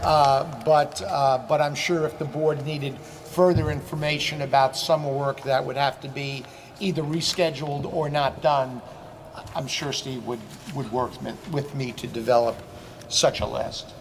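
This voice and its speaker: Administrator at a board meeting, gravelly, halting delivery, room noise. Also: male voice, medium pitch, fairly even intonation. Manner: measured, halting, restarts his phrases. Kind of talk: meeting discussion. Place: large school meeting room. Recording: noisy meeting room sound.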